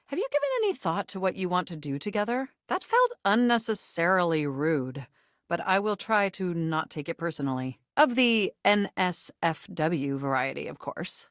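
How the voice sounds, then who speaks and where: A female computer personality from a video game, flirty and very pleased with herself. The delivery swings widely in pitch, chirpy and theatrical. The sound is dry and close. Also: dry recording. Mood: eager, upbeat, then flirty, put out. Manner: chirpy, gushing, theatrical, self-satisfied. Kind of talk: video game character voice acting.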